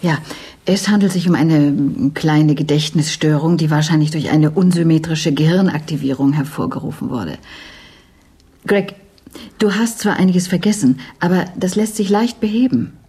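A composed female space medic in a radio play. Her voice is low, soft-edged and husky, and she explains things firmly and clinically. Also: moderate pace. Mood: calm, firm. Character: composed female ship's biomedic, firm and matter-of-fact. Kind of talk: German radio drama acting.

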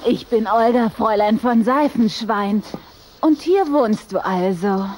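A woman's voice: fairly high pitch, unhurried, a little mellow, close to an everyday voice. Vain little vampire girl, acted by a woman, some noise. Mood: coy, self-assured. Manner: self-assured, coquettish, capricious. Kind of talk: German radio play acting for children.

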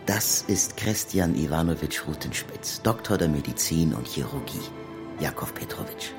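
An actor playing a sinister, soothing doctor in a radio play, hushed and falsely reassuring. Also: male voice, rumbling low, animated, normal speed, over music. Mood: ominously soothing. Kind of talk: literary radio play acting.

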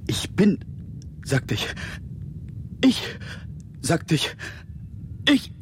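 An actor playing a frightened dying soul, halting and self-questioning, partly whispering in a noisy old radio play. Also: male voice, fairly high pitch, very animated, heavily acted. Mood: fearful, uncertain. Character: frightened dying person doubting their own existence. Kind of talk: 1960s German radio drama.

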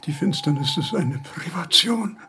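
An actor playing a frail, confused old philosophy professor in a radio drama, speaking haltingly in brittle fragments and repeating words. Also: male voice, fairly high pitch, expressive, pushed and bright, slight background noise. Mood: confused, agitated. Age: older. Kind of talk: German radio drama acting.

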